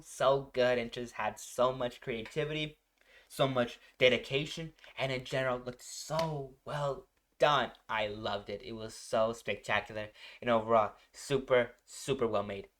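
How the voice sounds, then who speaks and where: A man's voice: mid-range voice, a bit bright. A man giving casual, gushing reaction commentary as a video host, rambling and often repeating himself. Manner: gushing, repetitive, rambling enthusiasm.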